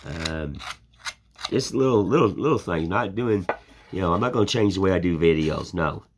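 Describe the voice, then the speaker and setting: A man's voice: low-pitched, animated, normal speed, background noise. An older American man with a folksy, plainspoken way of talking, rambling in a casual chat while he shows his woodcarving. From United States. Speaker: woodcarving channel host.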